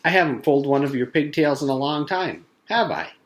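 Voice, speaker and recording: A man's voice: a little high, fairly quick, lightly acted. A man voicing an earnest, overexcited schoolboy who begs and pleads in a storybook. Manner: begging, pleading.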